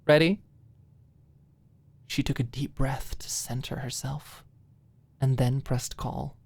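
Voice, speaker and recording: A woman's voice: very deep voice, flat delivery, measured pace, gravelly, warm tone, husky, no echo. A woman narrating a scripted ASMR audiobook story, close to the microphone.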